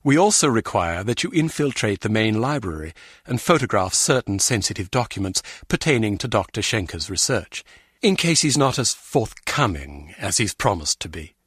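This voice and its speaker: A condescending spy chief in a video game, low and husky. He briefs his agent formally and sternly, in a close, dry recording. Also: big pitch swings, normal speed, fairly bright tone, no room sound, full character voice. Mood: businesslike. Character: stern, condescending male spy agency superior. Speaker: spymaster giving mission briefing.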